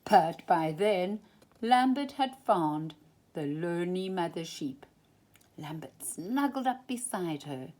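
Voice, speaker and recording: A woman storyteller reading a children's storybook aloud, expressive and emphatic, drawing out key words. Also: on the low side, slow.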